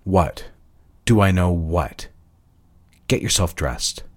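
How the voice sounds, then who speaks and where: A man's voice: low voice, expressive, slow, a little hiss or hum. An actor playing a controlling, condescending husband in a dramatic audio drama, patronizing as he moves between cooing and scolding. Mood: cold, contemptuous.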